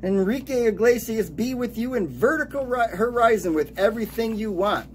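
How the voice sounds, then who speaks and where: A man in his late forties giving an upbeat radio DJ countdown, announcing titles and years in a lively way. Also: high-pitched, normal speed, a little hiss or hum. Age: late 40s.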